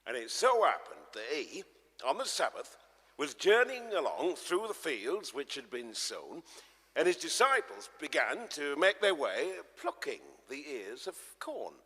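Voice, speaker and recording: Male narrator, dramatic scripture storytelling, lively swinging pitch, emphatic swells and hushes, long church reverb. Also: unhurried, breathy edge. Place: large church, live performance.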